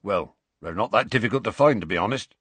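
A man voicing a huge, gruff, secretive half-giant with rustic, muttering speech for a storybook reading. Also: lowish voice, animated, quick pace, fairly bright tone, dry recording. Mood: grudging, muttering.

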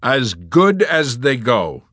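A man voicing a brash, excitable wartime airman in an audiobook, exclamatory and emphatic. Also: medium pitch, measured pace, no echo, studio quality, pitched above the performer's own voice. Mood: breezy, insistent.

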